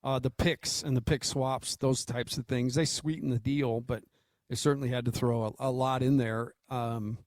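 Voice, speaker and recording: A man's voice: medium pitch, steady tone, normal speed, dry recording. American man in dry-sounding sports radio banter. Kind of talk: casual sports talk banter.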